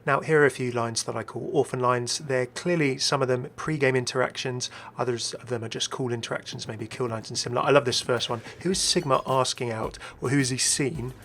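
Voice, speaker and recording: A man's voice: mid-range voice, fairly even intonation, a little fast, few pauses, a bit bright, a little hiss or hum. A man hosting a video game lore commentary, speculating aloud and speaking to the viewer in conversational asides. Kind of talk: scripted-sounding video commentary.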